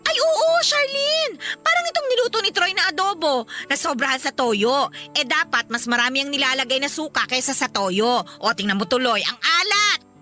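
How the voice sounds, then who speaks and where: Nosy pushy wife in a radio drama, high voice, super animated, teasing and chattering. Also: female voice, moderate pace, soft background music. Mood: blunt, fussy. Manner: chattering, needling, exclaiming, giggly. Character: talkative, brazen, teasing wife and mother, freeloading houseguest.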